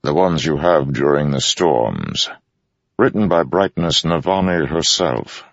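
A grave noble general with a formal, measured way of speaking and a low, slightly gravelly tone, as a male narrator voices him. The sound is dry and muffled, like a close studio read. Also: dry recording, full character voice. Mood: solemn. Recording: dull dry studio recording.

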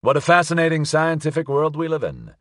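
A man voicing a practical, smooth-talking male private detective, brisk and wry, in an audiobook. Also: on the high side, very animated, fairly bright tone, no echo, clean studio sound, pitched above the performer's own voice. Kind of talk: audiobook character acting.

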